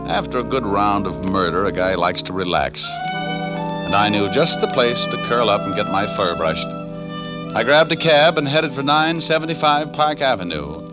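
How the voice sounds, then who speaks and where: Hardboiled American male gumshoe actor, flippant and tough, 1940s radio style, dull sound with loud music. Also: fairly low pitch, close to an everyday voice. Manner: wry, flippant. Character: wisecracking tough male New York private detective. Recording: dull old radio recording.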